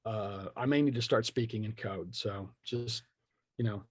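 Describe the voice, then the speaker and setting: A man's voice: lowish voice, normal speed, husky, no echo. Joking man in casual conversation, dry recording. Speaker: podcast guest, tabletop game creator.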